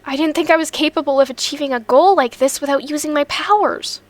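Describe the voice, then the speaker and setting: Lady doing teen schoolgirl, high voice, dry recording, earnest n feisty, anime style dub. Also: fast talker, no echo, natural voice. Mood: excited. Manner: earnest, emotive. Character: spirited teenage schoolgirl, eager and defiant. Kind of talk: earnest fandub acting.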